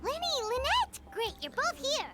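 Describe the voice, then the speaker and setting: A woman voicing a small, excitable, childlike fairy companion in a video game, with a squeaky animated delivery. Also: moderate pace. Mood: eager. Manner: animated, speaks of herself in third person. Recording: game audio with clear background music.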